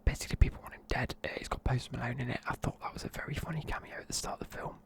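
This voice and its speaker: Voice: medium pitch, steady tone, racing. A tired, sleepy speaker, whispering a rambling film review close to the mic in the style of an ASMR video. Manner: rambling, loose, lots of fillers. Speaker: YouTuber reviewing films.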